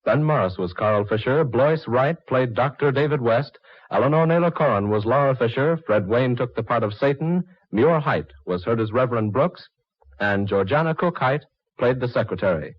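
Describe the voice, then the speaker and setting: A man announcing in formal, measured 1940s radio style, reading scripted credits. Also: mid-range voice, lively intonation, no room sound. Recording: dull, dry 1940s radio recording.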